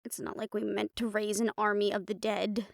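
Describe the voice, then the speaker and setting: A woman's voice: medium pitch, little pitch movement, normal speed, creaky, dry recording, clean studio sound, close to an everyday voice. A woman playing cheeky young women from a troublemaking friend group in an audio drama, flippant and defensive as they protest. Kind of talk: scripted audio roleplay drama acting.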